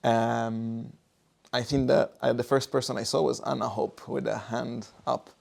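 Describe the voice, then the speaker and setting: A man's voice: mid-range voice, level tone, a little slow, gravelly, a little mellow. A man chairing the questions after a lecture, hesitant and full of fillers. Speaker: event chair. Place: lecture room.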